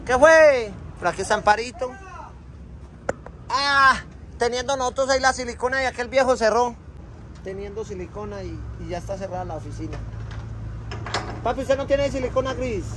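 High-voiced Colombian man filming a truck yard. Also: normal speed, long pauses, a bit bright, slight background noise. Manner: chatty and affectionate, full of diminutives. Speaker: trucking company owner and vlogger. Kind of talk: casual vlog walkaround. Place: outdoor truck yard and truck cab. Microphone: phone camera held at arm's length.

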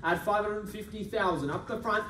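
A man's voice: sits high, bright, forceful tone, slight background noise. Young male auctioneer, persuasive bid caller, recorded from a distance in a reverberant function room. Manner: brisk, persuasive, repetitive bid calling. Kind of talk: live property auction calling. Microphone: far camera. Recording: echoey room from far off.

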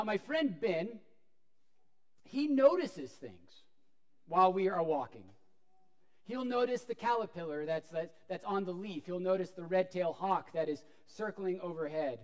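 Man, pastor, high-pitched, lively storytelling sermon, church sanctuary, lapel mic. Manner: animated storytelling with wide gestures, walking about.

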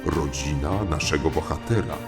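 A man's voice: a bass voice, flat delivery, moderate pace, vocal fry. A man narrating a comic audio fairy tale as a formal, courteous storyteller. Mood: measured. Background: music.

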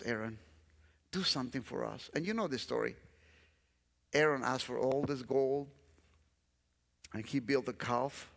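A man's voice: medium pitch, gravelly. Guest pastor, Guatemalan man, middle-aged, Spanish-accented English, mid-range voice, earnest and repetitive, in a reverberant sanctuary. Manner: earnest, repeats phrases, walks the stage. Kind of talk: sermon. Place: large church stage. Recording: long church hall reverb.

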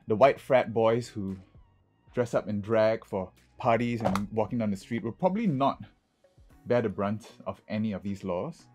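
A man with a husky voice, a drag queen giving chatty, casual vlog commentary and often checking in with "okay". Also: fairly low pitch, moderate pace, a little mellow, faint music behind. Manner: chatty, punctuates points with "okay".

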